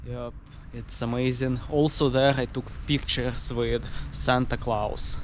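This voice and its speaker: A young Russian man talks into a handheld camera in slow, unhurried English, with his accent clear. He gropes for words and restarts often, and his voice sometimes drifts off the mic over faint city noise. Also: medium pitch, flat delivery. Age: 20s. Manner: halting, with many fillers and restarts. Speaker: travel vlogger. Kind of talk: casual vlog talk to camera. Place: sightseeing spots around a city, partly outdoors.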